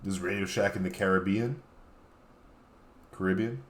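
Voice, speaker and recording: A man with a fairly low voice talks casually as a guest on a comedy show. He reads posts aloud and explains them haltingly, sometimes apologetically. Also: fairly bright tone. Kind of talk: casual banter, reading out tweets.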